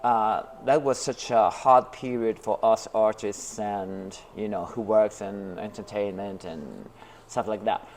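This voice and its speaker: Interview guest, male, from New York, slow and halting, lots of um and uh, low-ish voice. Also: slight background noise. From Brooklyn, New York. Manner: hesitant, many fillers and restarts. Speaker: interview guest, artist and teacher. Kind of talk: casual interview talk.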